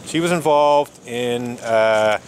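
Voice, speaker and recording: A man protesting outdoors, talking in an animated, indignant way and laughing often. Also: mid-range voice, slow pace. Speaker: street protester. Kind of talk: casual outdoor conversation. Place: outdoors on a city street. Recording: outdoor traffic noise.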